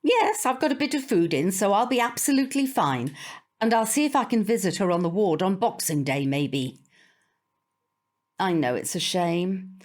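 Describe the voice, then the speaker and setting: Woman playing elderly British grandma, brisk, complaining, proud, expressive pitch swings, radio play. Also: close to an everyday voice. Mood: putting on cheer. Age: older. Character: fussy, proud elderly grandmother, stubbornly independent. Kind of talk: audio drama acting.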